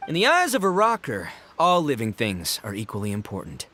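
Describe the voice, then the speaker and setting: A man's voice: medium pitch, wildly swooping pitch, brisk, sharp tone, heavily acted. A man voicing a boisterous, boastful young oni gang boss and goofy rocker in a video game, bombastic and slangy. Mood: earnest. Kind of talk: animated video game voice acting.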